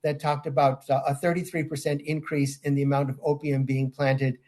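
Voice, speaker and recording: An older man answering interview questions in a measured way, with frequent ums and restarts. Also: on the high side, flat delivery, a little mellow. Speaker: guest, safeguards auditor.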